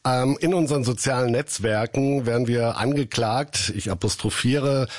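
A man's voice: medium pitch, moderate pace, dry recording, clean studio sound. A man in his sixties hosting a radio call-in show, jovial and chatty with a teasing manner. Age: 60s. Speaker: radio host. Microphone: studio microphone.

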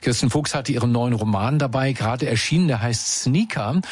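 A man presenting a radio show segment in a relaxed, chatty scripted way. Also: mid-range voice, normal speed, breathy edge, no room sound.

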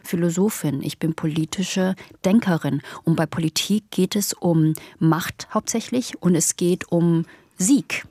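A woman's voice: lowish voice, a little slow, warm tone, husky, no echo. A woman in her thirties, a political philosopher answering interview questions in an emphatic, pragmatic, argumentative way. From Germany.

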